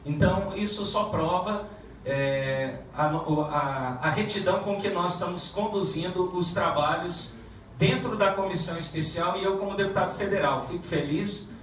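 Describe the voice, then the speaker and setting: A man who is a federal deputy giving a halting public speech at a meeting, with many restarts and fillers. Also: sits high, fairly bright tone, slight background noise. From Paraná, Brazil. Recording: echoey room from far off.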